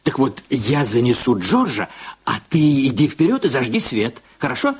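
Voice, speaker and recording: An actor playing a flippant, self-assured man who coaxes his sweetheart in an audio drama, with a husky voice and an ironic manner. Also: mid-range voice, very animated, moderate pace. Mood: coaxing, businesslike. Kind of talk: Russian audio drama acting.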